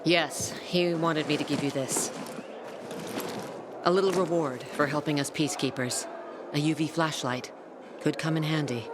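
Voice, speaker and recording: An actress playing a brusque, hardened peacekeeper officer in a video game, blunt and matter-of-fact. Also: female voice, deep voice, steady tone, normal speed, long pauses, background noise, close to an everyday voice. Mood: brisk. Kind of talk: video game dialogue acting. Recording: music behind the voice.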